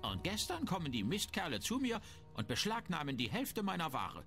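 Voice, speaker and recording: Shrewd, very animated fantasy merchant. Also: male voice, fairly high pitch, moderate pace, creaky, heavily acted. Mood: indignant grumbling. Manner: haggling, disdainful, self-important. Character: shrewd, grumbling, self-important male town merchant. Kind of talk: fantasy video game dialogue acting. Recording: music behind the voice.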